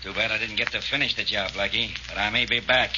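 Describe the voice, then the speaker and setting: An actor playing a sneering, menacing escaped criminal, in 1940s radio drama style. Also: male voice, fairly low pitch, steady tone, quick pace, close to an everyday voice. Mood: sneering threat. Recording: muffled, noisy old radio recording.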